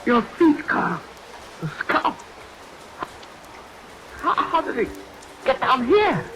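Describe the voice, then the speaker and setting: An actor playing a frightened, nervous man in a vintage radio horror play, fretful and halting. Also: very high voice, very animated, gravelly, exaggerated voice. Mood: panicked. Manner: panicky, fretful, halting repetitions. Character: frightened, nervous man, brother haunted by a curse. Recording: noisy old radio recording, rain sounds. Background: rain.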